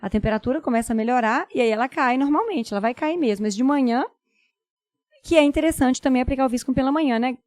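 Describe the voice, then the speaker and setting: Woman host, rapid and chatty, fairly high voice, dry sound. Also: a little mellow, no echo. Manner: brisk, chatty, tags questions with "né?". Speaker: podcast host, doctor. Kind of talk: conversational podcast talk.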